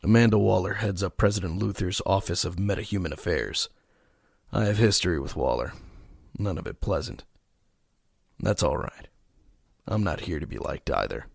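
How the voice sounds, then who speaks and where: A man playing a grim masked vigilante detective in an audio drama, terse and stern, with a gravelly, husky voice. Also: low-pitched, expressive, normal speed, long pauses, dry recording, studio quality, exaggerated voice. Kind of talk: audio drama narration and dialogue.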